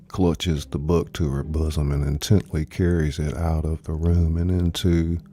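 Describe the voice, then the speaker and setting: Man, live storytelling host, deep dark mellow voice, unhurried delivery, music in background. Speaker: host and narrator of a live reading. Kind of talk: dramatized live audiobook narration.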